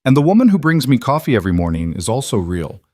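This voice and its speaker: A man's voice: medium pitch, very animated, quick pace, darkish tone, no echo, studio quality, voice pushed up. A man voicing an intense mafia boss in an audio romance, direct and earnest, a little socially awkward. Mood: intense, probing.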